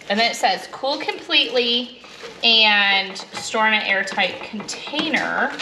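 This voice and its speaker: A woman's voice: on the high side, background noise. A woman in her thirties from Alaska, chatting casually as she cooks and explains each step to the camera in her home kitchen. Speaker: homestead vlogger. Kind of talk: casual cook-along vlog. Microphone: handheld or mounted camera, moving around. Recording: clear kitchen clatter behind. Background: clattering pots, dishes and cutlery.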